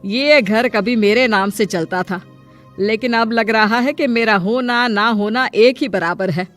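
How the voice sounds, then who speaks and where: A woman's voice: fairly high pitch, a bit bright, soft background music. A woman voicing a stern, sarcastic older mother-in-law in a cartoon story, scolding and bossy about her household. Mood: aggrieved. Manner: scolding, bossy, drawn-out address.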